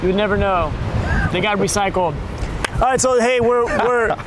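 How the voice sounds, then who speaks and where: An American man hosting a casual walking podcast outdoors, relaxed and joking, laughing often. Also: high voice, normal speed. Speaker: podcast host. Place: outdoors, windy coast and woods. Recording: outdoor recording with loud wind noise.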